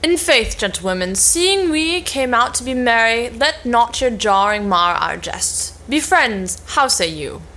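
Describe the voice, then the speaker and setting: A woman reading as a high, merry page boy. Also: big pitch swings, a little slow, few pauses, sharp tone, full character voice, poor audio. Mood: coaxing. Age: young adult. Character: merry, mischievous young male page. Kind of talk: cold read of Elizabethan stage comedy.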